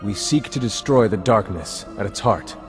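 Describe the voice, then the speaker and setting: An actor playing an earnest, resolute space soldier in a video game, terse and solemn in delivery. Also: male voice, on the low side, lively intonation, moderate pace, faint music behind, natural voice. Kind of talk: video game cutscene acting.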